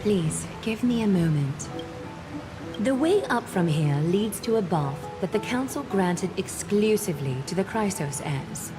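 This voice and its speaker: An actress voicing a composed, courteous noblewoman in a fantasy video game, speaking in a poised, formal, gently teasing manner. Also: medium pitch, animated, a little slow, over music, lightly acted. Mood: formal, polite. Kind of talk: scripted video game voice acting.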